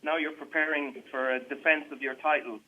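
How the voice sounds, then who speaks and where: A man's voice: on the high side, fairly even intonation, brisk. A man working as a reporter, putting questions to fighters over a phone conference call. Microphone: telephone. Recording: dull radio-like phone line.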